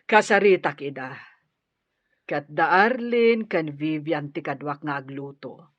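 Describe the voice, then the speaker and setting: Slow, husky genial madam. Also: female voice, low-pitched, dry recording. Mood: planning, pleased. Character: genial, unhurried madam of the house planning a celebration. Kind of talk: Ilocano radio drama acting. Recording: muffled, dry radio drama recording.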